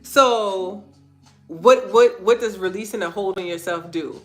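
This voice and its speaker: A woman's voice: medium pitch, a little slow, soft background music. A woman hosting an inspirational faith-based livestream, earnest and repeating phrases for emphasis, her nose stuffy and congested. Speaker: host of a live online talk.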